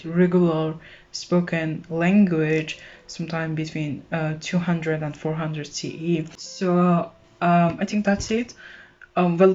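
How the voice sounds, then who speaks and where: Teen girl vlogger, Persian first language, chatty with fillers, lowish voice, dull lo-fi audio. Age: teenager. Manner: chatty, frequent fillers and restarts. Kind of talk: casual talk to camera. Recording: dull lo-fi sound with soft guitar music.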